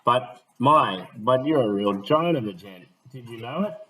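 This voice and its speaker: A man voicing a scrappy, quick-tempered street boy with rough, working-class speech. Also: mid-range voice, lively intonation, bright, forceful tone, low-quality recording. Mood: gruff cheeky.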